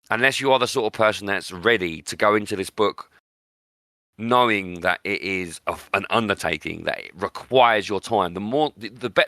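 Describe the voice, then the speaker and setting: A man's voice: on the low side, pushed and bright, dry recording, studio quality. A man hosting a podcast, discussing books in an opinionated, emphatic way and repeating words for stress. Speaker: podcast host.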